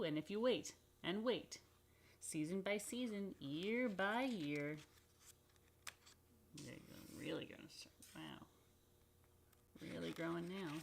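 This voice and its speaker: A woman reading a picture book aloud to children, expressively and unhurriedly, with dramatic pauses and chatty asides. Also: medium pitch, vocal fry, slight background noise. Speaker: rabbi reading a children's story. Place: indoors.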